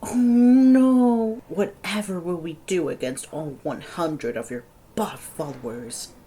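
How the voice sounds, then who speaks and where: Woman acting a very low, sarcastic young lover. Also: slow, dark tone, slightly rough. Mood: mocking. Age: young adult. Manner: sardonic, biting. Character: sarcastic, mouthy young fiancé of the boss's son. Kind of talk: dramatic audio roleplay.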